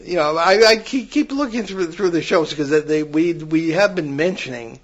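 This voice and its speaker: An older man with a somewhat high voice talks through a paranormal podcast, winding through anecdotes and correcting himself mid-sentence. The audio sounds dull and lo-fi. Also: expressive, normal speed. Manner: rambling, many restarts, storytelling. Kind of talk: casual chat.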